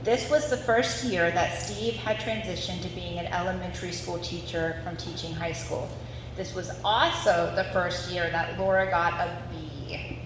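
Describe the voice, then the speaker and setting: A woman's voice: on the low side, fairly even intonation, unhurried. A woman in her forties from Ohio reads a scripted family story aloud at a live gathering. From Ohio, United States. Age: 40s. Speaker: daughter reading family story at anniversary event. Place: large reverberant room at a live gathering. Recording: very echoey, distant mic, noisy.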